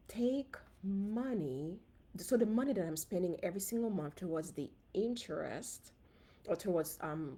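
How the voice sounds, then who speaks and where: A woman from Haiti with a Haitian accent, a dentist giving an animated, casual livestream talk to her viewers on a phone. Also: medium pitch, slightly rough. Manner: animated, talks straight to viewers. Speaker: dentist and finance coach.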